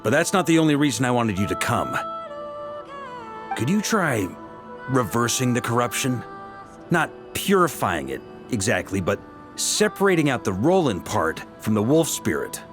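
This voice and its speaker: A man plays a seasoned game warrior with a wry, confident air. His mid-pitched voice moves expressively as he explains in a measured, theatrical way, with music clearly playing underneath. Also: very animated, lightly acted. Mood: thoughtful, composed. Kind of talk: video game character dialogue.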